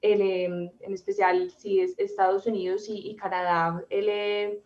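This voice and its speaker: A woman's voice: mid-range voice, level tone, a little slow, a little mellow, distant mic. A woman host from Colombia leading a conversation, thinking aloud and often repeating words as she searches for phrasing. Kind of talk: hosting a conversation. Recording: roomy audio from away from the mic, muffled.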